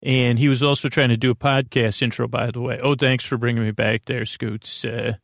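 A man hosting a sleep podcast with a creaky voice, meandering haltingly and often interrupting and repeating himself in a bedtime intro. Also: medium pitch, level tone, no echo, studio quality. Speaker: podcast host.

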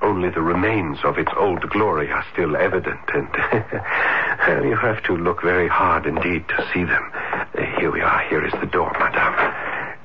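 An actor playing a shy, ardent young dreamer in a 1970s radio drama, hesitant and breathless with florid romantic phrasing. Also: male voice, sits low, normal speed, vocal fry. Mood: polite, nervous. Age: young adult. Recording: muffled noisy old radio broadcast.

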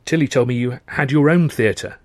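A man's voice: medium pitch, normal speed, darkish tone, no echo, pitched above the performer's own voice, natural voice. Man as a courteous young Englishman. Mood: tentative. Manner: courteous, tentative. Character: polite, inquisitive young man, the story's hero.

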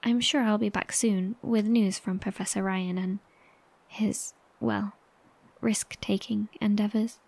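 An actress playing a compassionate, sombre woman broadcaster in a horror audio drama, speaking softly and breathily, with gentle hesitation. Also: medium pitch, steady tone, measured pace, vocal fry, a little hiss or hum. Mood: sombre, unsettled.

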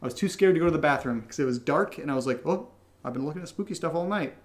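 A man's voice: on the high side, lively intonation, very fast. A man co-hosting a casual question-and-answer chat, telling stories in a playful, self-deprecating way. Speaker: podcast co-host and audio drama writer.

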